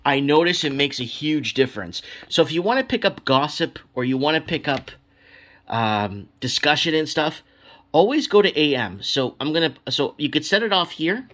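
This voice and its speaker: A man's voice: a little high, lively intonation, normal speed, a bit bright. A man giving a casual, unscripted product demo, rambling informally and thinking aloud as he goes. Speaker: product reviewer. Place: small room at home, indoors. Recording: clearly noisy home video with clicks.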